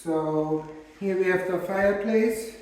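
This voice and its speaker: Calm man. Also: sits high, a little hiss or hum, low-quality recording. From Germany. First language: German. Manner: calm, steady, with frequent uh and um pauses. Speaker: channel co-host and narrator. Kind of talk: casual chat and tour narration.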